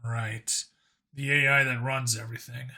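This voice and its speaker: A man playing a blunt, wry human cop in an audiobook, with a casual, low-key delivery. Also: level tone, moderate pace, pushed and bright. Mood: sober. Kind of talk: audiobook character acting.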